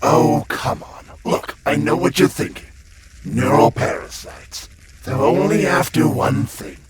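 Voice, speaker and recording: A man's voice: medium pitch, big pitch swings, a little slow, background noise. A man playing a glib, sleazy demon guide of hell in a comic dub, full of slangy, theatrical sales patter. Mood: gleeful, mocking. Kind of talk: comic-book dub voice acting. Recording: loud music under the voice. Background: prominent music.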